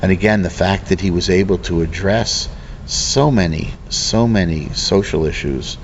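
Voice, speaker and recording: Middle-aged American male interviewee, deep register, earnest and halting, slightly muffled location sound. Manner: earnest, halting, circles back mid-sentence. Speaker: interviewee, local arts program representative. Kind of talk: casual interview conversation. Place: event venue before a program starts. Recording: dull, noisy on-location recording.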